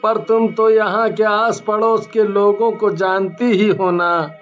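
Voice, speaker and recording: A man voicing a gentle, out-of-work husband who speaks softly and cautiously. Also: high voice, steady tone, slow, lo-fi sound, faint music behind. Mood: affectionate.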